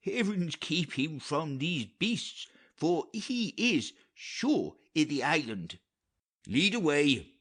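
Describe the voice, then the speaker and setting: Man putting on a high, earnest old counsellor. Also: sing-song, slow, fairly bright tone, slightly rough, heavily acted. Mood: pious concern. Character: loyal, earnest elderly male royal counsellor. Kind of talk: dramatic reading of Shakespeare.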